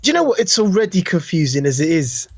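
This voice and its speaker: A man's voice: sits high, very animated, quick pace, creaky, dry recording. A man co-hosting a podcast in casual, slangy banter, eagerly agreeing and repeating himself. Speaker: podcast co-host.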